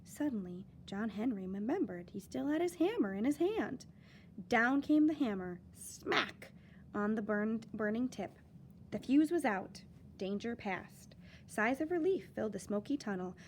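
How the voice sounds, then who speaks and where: A woman teacher reading a children's picture book aloud, with lively, expressive storytelling and dramatic emphasis. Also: fairly high pitch, unhurried, a little hiss or hum.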